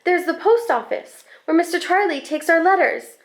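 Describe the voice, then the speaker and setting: A woman reading a children's picture book aloud expressively, with deliberate, animated phrasing and drawn-out words. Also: very high voice.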